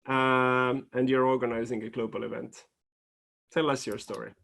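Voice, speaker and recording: A man's voice: mid-range voice, little pitch movement, normal speed, gravelly, a bit bright. A man moderating a conference keynote, speaking in a friendly way with hesitant fillers. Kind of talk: panel moderation.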